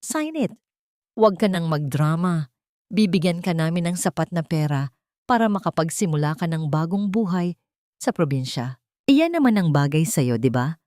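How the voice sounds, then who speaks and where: A woman narrator acts out a haughty older matriarch in a melodramatic audio story. She is commanding and contemptuous, with a sneer in her delivery. The voice sits fairly low and the sound is dry. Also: lively intonation, moderate pace, darkish tone, no echo, lightly acted. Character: haughty, cruel older matriarch mother-in-law.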